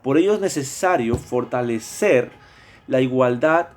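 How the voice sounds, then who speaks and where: A man's voice: medium pitch, expressive, measured pace. A man from Mexico with a Mexican Spanish accent, presenting a class project in a hesitant way, with many fillers and restarts.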